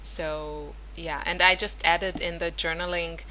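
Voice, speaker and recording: A woman's voice: on the low side, level tone, measured pace, sharp tone, a little hiss or hum, low-quality recording. A woman whose first language is Hebrew, chatting loosely through a scrapbooking voice-over, full of fillers and self-corrections. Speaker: scrapbooking vlogger. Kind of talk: casual voice-over narration of a craft process.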